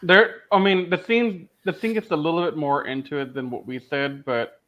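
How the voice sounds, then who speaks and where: A man's voice: fairly high pitch, steady tone, normal speed, lo-fi sound. A man co-hosting a review podcast in casual discussion, making his points emphatically. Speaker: podcast co-host.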